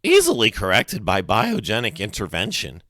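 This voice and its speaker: A man's voice: on the low side, wildly swooping pitch, normal speed, pushed and bright, no room sound, clean studio sound, heavily acted. A man voicing a small, brainy, sickly boy who talks in a pompous, precocious way for a story. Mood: bossy, grandiose. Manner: pompous, precocious, grandly dramatic.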